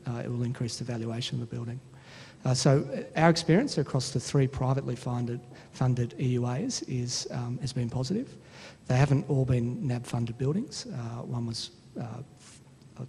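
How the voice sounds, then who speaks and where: A man's voice: a little mellow, husky. A middle-aged man answering as a finance panelist in a discussion, explaining into a microphone. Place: large hall panel event with audience. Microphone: microphone held close. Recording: echoey hall, faint rumbling noise.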